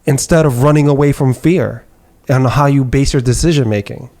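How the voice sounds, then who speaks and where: A man hosts a podcast in a relaxed, reflective way, asking probing questions. His tone is dark and mellow, at an everyday pitch. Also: moderate pace. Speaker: podcast host. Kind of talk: casual interview conversation.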